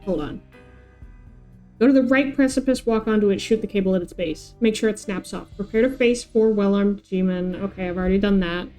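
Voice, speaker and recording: A woman's voice: mid-range voice, brisk, mellow, faint music behind. A woman streaming a game with casual, playful, sweary chat, often talking to herself. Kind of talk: casual livestream gaming chat.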